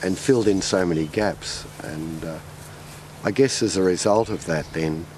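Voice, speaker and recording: An Australian man speaking thoughtfully outdoors, pausing often with ums. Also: deep voice, darkish tone, slight background noise. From southwest Victoria, Australia. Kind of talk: documentary interview. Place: outdoors in a grassy field.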